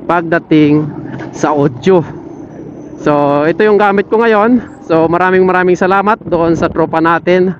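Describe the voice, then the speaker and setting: Male moto vlogger, casual and chatty, helmet intercom sound slightly muffled over faint road noise. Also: fairly high pitch. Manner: friendly, addressing viewers with shoutouts. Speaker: motorcycle vlogger. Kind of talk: casual vlog chat while riding. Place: outdoors on a motorcycle in evening city traffic. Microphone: helmet intercom linked to action camera. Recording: muffled audio with traffic and engine noise. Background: vehicle and street noise.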